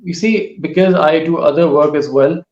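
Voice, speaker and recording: A male author, whose first languages are Urdu and Saraiki, giving casual interview answers in a thoughtful way that pauses often mid-sentence. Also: on the high side, darkish tone, lo-fi sound. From Pakistan. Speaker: author and writing teacher.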